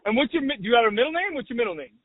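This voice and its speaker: A man hosting a podcast, asking questions in an easygoing, halting way. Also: very high-pitched, lively intonation, crowd noise. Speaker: podcast host. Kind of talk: casual interview. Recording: dry, phone-like band.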